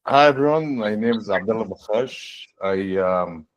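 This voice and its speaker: A man in his forties, a guest on a video-call fireside chat, explaining his work in a relaxed way with frequent restarts and "right?". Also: fairly low pitch, moderate pace, rough recording. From born in the United States, raised in Saudi Arabia and Canada. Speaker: guest, fractional CTO.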